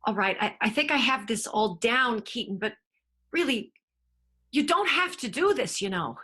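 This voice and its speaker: Actress as lovelorn, loyal stage manager: high-pitched, breathy-rough voice, imploring and halting, radio soap melodrama. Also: female voice, lively intonation, pushed and bright, heavily acted. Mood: hesitant, worried. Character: devoted, lovelorn young stage manager, pleading.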